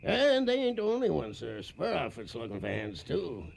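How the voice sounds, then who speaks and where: Gravelly, stammering cowhand. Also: male voice, on the high side, huge pitch swings, heavily acted. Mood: hesitant, uneasy. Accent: American Western drawl. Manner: halting, nervous stammer with small chuckles. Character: hesitant, stammering cowhand speaking for the men. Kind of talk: Western radio drama acting. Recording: noisy radio drama recording.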